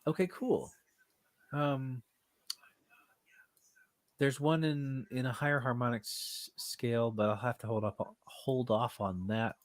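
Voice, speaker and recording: A man with a cold, leading a casual online music class in a loose, halting way, heard over a computer mic. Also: medium pitch, dry recording. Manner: relaxed, loose and halting with fillers. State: stuffed up with a cold. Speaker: class teacher.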